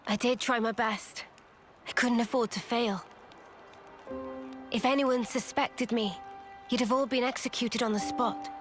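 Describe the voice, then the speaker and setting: A woman's voice: fairly high pitch, fairly even intonation, a little slow, pauses often, music in the background, close to an everyday voice. A woman playing a composed, devoted young warrior, earnest and resolute, in a dramatic video game cutscene. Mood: quiet resolve.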